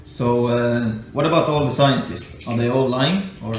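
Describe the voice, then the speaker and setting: A man casually asking questions in a tentative, halting way. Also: mid-range voice. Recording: very echoey room, faint noise.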